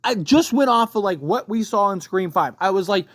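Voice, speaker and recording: An online commentator with a mid-pitched voice, recorded close and very dry. The delivery is a casual, opinionated rant, emphatic and conversational, punctuated by rhetorical check-ins. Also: animated, normal speed, no echo. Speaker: YouTube host giving film commentary.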